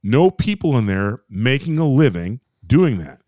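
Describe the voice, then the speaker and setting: A man's voice: medium pitch, very animated, a little slow, darkish tone, no room sound, dull audio. An emphatic, rambling American man ranting. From United States. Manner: emphatic, repetitive, rambling with restarts and swearing. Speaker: podcast co-host. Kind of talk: ranting casual podcast chat.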